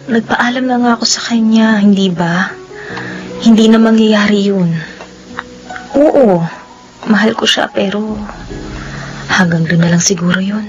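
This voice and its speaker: A woman playing a gentle, hesitant young novice nun torn by love, in a Filipino radio drama. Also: mid-range voice, steady tone, measured pace, pauses often, close to an everyday voice. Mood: torn, sad. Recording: dull lo-fi audio with music bed.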